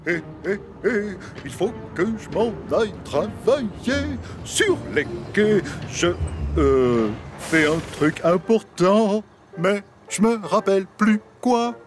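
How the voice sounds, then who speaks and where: Forgetful cheery cartoon diesel engine; high, lively, unhurried chuckler. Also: male voice, heavily acted. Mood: cheerful, muddled. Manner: chuckling, scatterbrained, sing-song. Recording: cartoon soundtrack with music behind.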